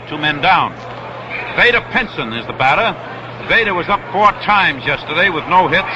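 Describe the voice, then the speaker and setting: A man calling baseball play-by-play on 1960s radio in a measured, explanatory way, repeating himself deliberately. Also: a little high. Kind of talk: live 1960s radio sports commentary. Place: outdoors at a baseball stadium. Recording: noisy vintage outdoor radio broadcast.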